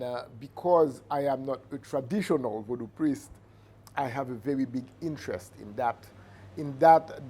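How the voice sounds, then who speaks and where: A middle-aged man with a Haitian accent giving reflective interview answers as a Vodou priest, measured and halting as he searches for words. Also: mid-range voice, warm tone, slightly rough. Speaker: Vodou priest and cultural entrepreneur. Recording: noticeable background ambient noise.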